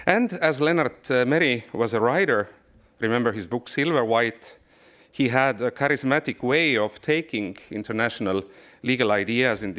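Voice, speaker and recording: A man in his forties from Estonia delivers a formal lecture with a fairly low voice. He speaks in a measured, formal way and sometimes stops to restart a phrase. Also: fairly bright tone. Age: 40s. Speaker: guest lecturer.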